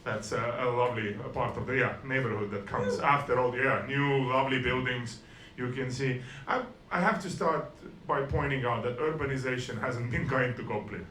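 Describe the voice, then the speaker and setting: A man delivers stand-up in a dry, self-deprecating way, often stumbling and restarting his sentences. His voice sits at an ordinary middle pitch. The room is echoey, with a faint hiss under him. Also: moderate pace, hardly any pauses, fairly bright tone. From Estonia. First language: Russian and Estonian. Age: 40s. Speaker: stand-up comedian. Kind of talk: stand-up comedy set.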